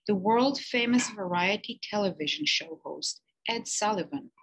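A woman's voice: medium pitch, fairly bright tone, slightly rough, low-quality recording. A woman teacher reading a children's picture-book biography aloud, with lifted emphasis on key words.

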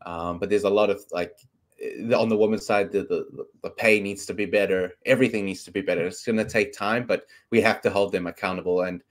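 A South African man hosting a casual sports chat over a webcam from his bedroom, his voice sitting fairly low. Afrikaans is his first language, and he rambles along with plenty of ums and restarts. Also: fairly even intonation, a little fast. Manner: chatty, rambling, lots of ums. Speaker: podcast host. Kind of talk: casual sports talk show. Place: bedroom at home. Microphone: webcam on video call.

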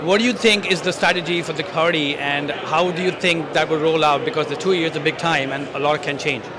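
A man asks his questions quickly but haltingly, stopping for fillers. His voice is slightly high. He holds a handheld mic in a convention hall with clear crowd chatter behind him. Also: steady tone. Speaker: interviewer. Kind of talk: asking interview questions. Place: large convention hall lobby, indoors.

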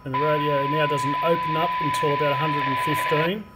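Male technician, fairly high voice, explanatory hands-on commentary, recorded in a noisy workshop. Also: steady tone, brisk. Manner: explanatory, talking while working. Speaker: radio repair technician presenter. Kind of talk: hands-on repair demonstration commentary. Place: indoor electronics repair workbench. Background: workshop noise, clicks, test tones.

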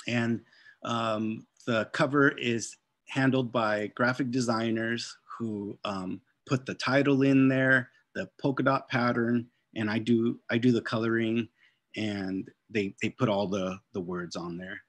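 A middle-aged man, an author and illustrator, casually presenting his artwork on a video call with an unhurried, halting delivery. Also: mid-range voice, steady tone, dry recording. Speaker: children's book author and illustrator. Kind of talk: informal slide presentation of artwork.

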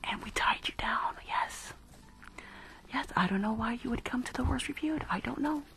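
A woman whispering close to the mic in an ASMR roleplay as a rude dentist, halting and repeating herself with dismissive reassurance. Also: on the high side, bright, forceful tone. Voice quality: breathy whisper with creaky edges.

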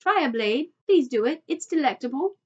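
A woman's voice: high voice, animated, moderate pace, lo-fi sound. A woman voicing an exuberant, boastful male factory owner from a children's storybook, repeating phrases for emphasis. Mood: proud, eager.

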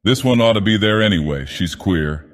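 A man voicing a gruff, drunken, superstitious schooner captain in a storybook narration, grumbling and emphatic with fear underneath. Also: lowish voice, lively intonation, normal speed, long reverb tail, natural voice. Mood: contemptuous.

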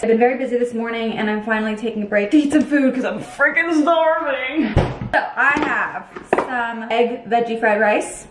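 A woman's voice: on the high side, roomy sound, background noise. A young woman in her twenties chatting casually in a vlog, bubbly and gushing, filmed on a handheld or tripod camera at home and out and about. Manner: bubbly, gushing, lots of "like" and "so cute". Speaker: vlogger. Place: home kitchen and outdoor canyon town.

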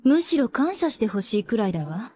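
Merciless anime princess, highish voice, imperious and taunting, very dynamic intonation. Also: female voice, big pitch swings, a little fast, a little mellow. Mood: haughty. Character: cold, merciless teenage warrior princess, imperious, menacing. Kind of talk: anime voice acting.

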